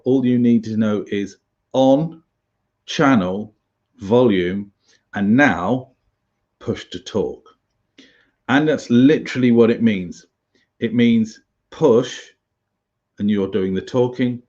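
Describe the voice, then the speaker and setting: A British man giving an informal tutorial as an instructor, halting and chatty with wry asides. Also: medium pitch, darkish tone, highs cut off. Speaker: survival instructor, presenter.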